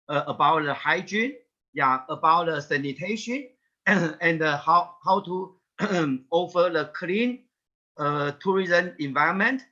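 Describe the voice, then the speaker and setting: A man giving a webinar presentation over a video call, talking haltingly with many "yeah" fillers and restarts. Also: high-pitched, sharp tone, highs cut off. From Taiwan. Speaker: guest presenter.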